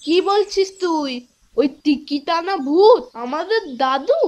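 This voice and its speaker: A woman voicing a timid, excitable little village boy in a children's cartoon, nervous and easily rattled. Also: very high voice, takes it slowly, heavily acted. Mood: doubtful, thoughtful. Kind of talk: children's moral-story cartoon acting.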